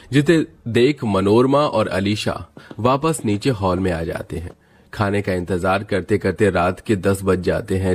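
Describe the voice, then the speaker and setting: A man's voice: on the low side, darkish tone. A man narrating a scripted family moral story.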